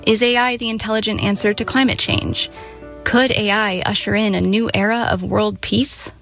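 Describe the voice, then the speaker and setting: A woman radio correspondent reading scripted narration clearly. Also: mid-range voice, steady tone, moderate pace, fairly bright tone, soft background music.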